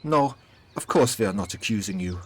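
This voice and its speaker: A man voicing a young-looking immortal huntress with a German accent, measured and formal, in a fantasy audio story. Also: on the low side, animated, slight background noise, lightly acted. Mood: conciliatory. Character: young-looking German huntress, two hundred years old, guarded, neutral.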